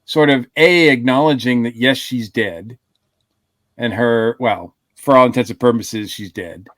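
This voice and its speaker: A man's voice: medium pitch, measured pace, fairly bright tone, no echo, clean studio sound. A man co-hosting a casual podcast discussion, thinking aloud with many fillers. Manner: thoughtful, hedging with fillers. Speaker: podcast co-host.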